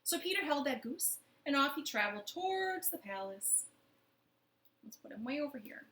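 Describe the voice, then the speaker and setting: A woman's voice: sits high, very animated, moderate pace, fairly bright tone. A woman telling a children's story expressively from her living room, speaking directly to young listeners. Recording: roomy, echoing home living room.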